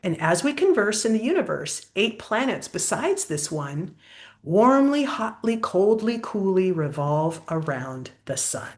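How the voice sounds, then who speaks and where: A woman's voice: medium pitch, very animated, measured pace, a little mellow. A woman voicing a curious, homesick male armadillo in a storybook, earnest and full of wonder. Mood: proud, earnest.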